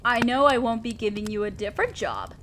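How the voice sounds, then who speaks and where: A woman's voice: on the high side, sing-song, a little fast, fairly bright tone, slight background noise. A woman voicing a stern, condescending female demon lord in a scripted roleplay audio drama, speaking as an exacting boss of hell. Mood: stern, threatening. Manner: commanding, condescending, scolding.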